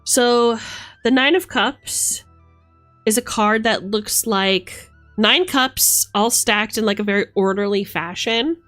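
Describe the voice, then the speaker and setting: A woman's voice: on the high side, takes it slowly, fairly bright tone. Playful woman chatting over background music. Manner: playful, affectionate, thinking aloud. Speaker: livestreamer. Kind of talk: casual livestream chat while reading tarot cards.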